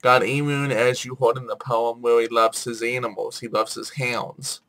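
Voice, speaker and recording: A man's voice: medium pitch, little pitch movement, normal speed, pushed and bright, poor audio. A man hosting a casual explainer video while he has a head cold and a sore throat, talking in an informal, apologetic way. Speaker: video host.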